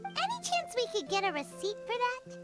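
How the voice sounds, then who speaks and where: A woman voicing a small, childlike fairy companion in a video game, perky and suspicious, with a squeaky voice. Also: moderate pace, over loud music, heavily acted. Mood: eager. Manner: excitable, refers to self by name.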